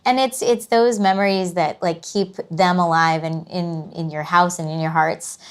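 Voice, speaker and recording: A woman's voice: on the low side, steady tone, moderate pace, clear, resonant tone. A woman in casual podcast chat, talking reflectively with filler words.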